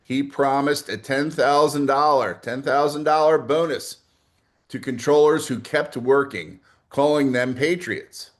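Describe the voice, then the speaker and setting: A man with a medium-pitched voice gives a political commentary. His delivery is blunt and opinionated. He moves between prepared, formal phrasing and offhand asides, often posing rhetorical questions to the listener. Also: unhurried. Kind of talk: opinionated political monologue, part scripted with asides.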